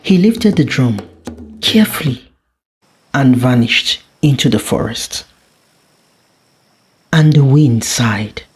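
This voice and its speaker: Very slow, dramatic folktale storyteller. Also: mid-range voice, very animated, faint music behind. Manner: deliberate, with frequent dramatic pauses. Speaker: storyteller, narrator. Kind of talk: dramatic scripted folktale narration.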